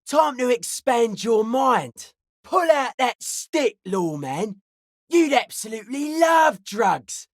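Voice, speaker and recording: A man's voice: extremely high pitch, very animated, takes it slowly, no room sound, studio quality, full character voice. A man playing an intense young hardcore raver in a video game, with a slangy, preachy, grandiose way of talking. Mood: cocky, persuasive. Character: intense young male hardcore raver, drug-loving, anti-authority philosopher. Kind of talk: video game character dialogue.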